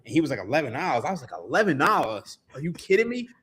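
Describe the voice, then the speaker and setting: An animated man, chatting as a podcast guest. His pitch swings widely as he tells stories, and he laughs and swears easily. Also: fast talker, slightly rough. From Philadelphia, USA. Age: 30s. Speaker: podcast guest, sports writer. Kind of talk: casual podcast chat.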